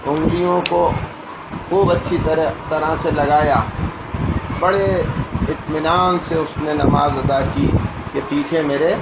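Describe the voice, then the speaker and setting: A man's voice: a little high, steady tone, takes it slowly. A man preaching as an Islamic cleric, explaining a ruling informally and often checking that listeners understand. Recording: noisy, echoey, muffled recording with traffic.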